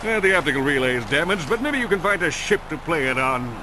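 A man's voice: a little high, sing-song, fast talker, very noisy. A man voicing a vain, cowardly alien superhero in a video game, in a bombastic, melodramatic announcer manner. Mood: sheepish. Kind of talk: video game cutscene comic acting.

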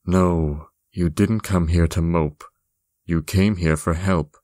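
A stern fire-man bartender, acted by a woman talks extremely low and slowly, close and dry. The delivery is firm and deliberate, like a wise cartoon counselor. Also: steady tone, warm tone, no echo. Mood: patient, firm. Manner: firm, deliberate, matter-of-fact. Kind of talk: fan comic dub acting.

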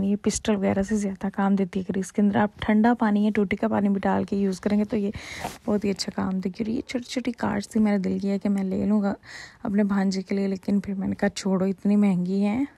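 Young woman vlogger, gravelly and mellow, chatty joking voice-over, dry close sound. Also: mid-range voice, no echo. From Pakistan. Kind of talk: casual voice-over narration.

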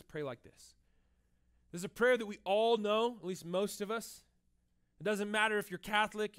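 Pastor, 30s, male, very high pitch; casual, animated sermon delivery. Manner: animated, conversational preaching with frequent "right?" asides. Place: church stage with band gear.

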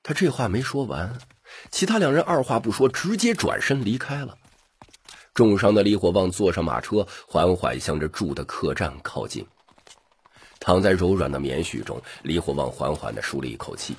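A man with a rough voice narrating a scripted audiobook story. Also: deep voice, expressive, normal speed.

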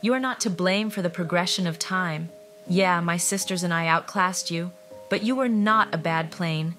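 A woman's voice: on the low side, normal speed, fairly bright tone, soft background music, lightly acted. A woman voicing a proud, blunt and loyal fighter plane girl, speaking firmly and rousingly. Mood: firm encouragement.

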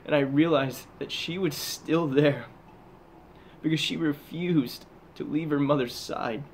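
Man performing haunted male soldier, stage monologue, unhurried halting delivery, intense, mid-pitched, faint background noise. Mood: grieving, guilty. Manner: halting, intense, with heavy pauses. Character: haunted, guilt-ridden male soldier back from war.

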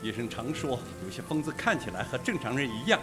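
Suspicious police detective male actor for a radio whodunit: medium pitch, lively intonation, blunt and sarcastic. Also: fast, over music, natural voice. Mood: dry, wary. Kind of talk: Mandarin radio mystery drama acting.

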